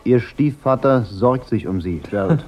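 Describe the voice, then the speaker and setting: An actor playing an unflappable, wisecracking private detective in a German radio crime drama, dry and laconic. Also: male voice, medium pitch, a little slow, a little mellow, lightly acted. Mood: probing, blunt. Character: wry, unflappable hard-boiled male private detective. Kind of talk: German radio play acting.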